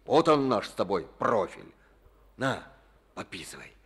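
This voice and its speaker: A man's voice: lowish voice, big pitch swings, a little slow, husky, heavily acted. An actor playing a domineering, folksy Soviet party boss who bosses and mocks his deputy in a radio drama. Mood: scornful. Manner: bossy, blustering, folksy. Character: blustering domineering male party district boss.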